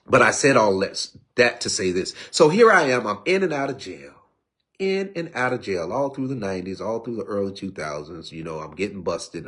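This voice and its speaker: Man, fifties, streetwise testimony, preachy, fairly high voice, very lively pitch. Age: 50s. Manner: preachy, streetwise, repetitive restarts. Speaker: recovery speaker. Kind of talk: personal testimony talk.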